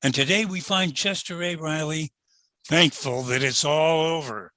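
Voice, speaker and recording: An actor playing the wry announcer and narrator of an old-time radio sitcom. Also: male voice, fairly high pitch, unhurried, bright, forceful tone, dry recording, clean studio sound. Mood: presentational, wry.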